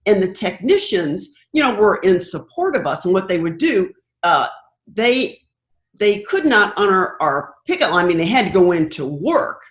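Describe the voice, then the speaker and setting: An older American woman telling anecdotes conversationally as an interview guest, thoughtful and pausing to find her words. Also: mid-range voice, big pitch swings. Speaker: interview guest, broadcast producer. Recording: roomy, echoing sound.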